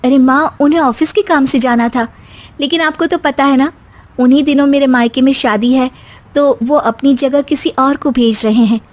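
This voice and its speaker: A woman as a high-voiced, firm young bride. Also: fairly even intonation, moderate pace, natural voice. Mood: polite. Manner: polite but firm. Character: calm, assertive young newlywed daughter-in-law.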